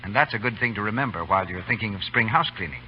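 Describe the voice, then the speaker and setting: An American man works as an old-time radio announcer, pitching with emphatic, lively intonation. The recording is muffled, with faint hum behind him. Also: rapid-fire.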